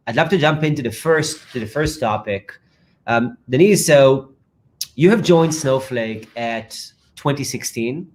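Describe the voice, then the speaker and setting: Upbeat man moderating an online chat. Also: fairly low pitch. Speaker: moderator and startup founder. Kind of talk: hosting a live online fireside chat.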